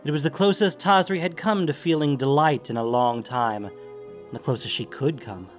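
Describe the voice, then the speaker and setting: A man narrating scripted fantasy fiction in a grave, deliberate way with theatrical emphasis. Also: medium pitch, soft background music.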